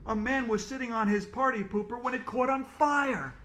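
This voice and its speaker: A man doing a breathless shouting man. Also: sits high, lively intonation, normal speed, pitched above the performer's own voice. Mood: alarmed. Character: breathless adult male school worker. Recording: roomy echo.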